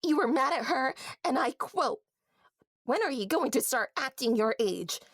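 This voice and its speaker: High, childlike sulky toy pig. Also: female voice, animated, normal speed, no echo, studio quality. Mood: sulky, indignant. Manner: childlike, petulant, indignant. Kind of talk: audio drama acting.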